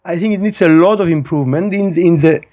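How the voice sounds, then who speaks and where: Higher-voiced Cypriot man in his fifties. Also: fast talker, warm tone, dull audio. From Cyprus. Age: 50s. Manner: opinionated, digressive, repeats and rephrases points. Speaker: podcast guest, high school physics teacher. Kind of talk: casual conversational interview.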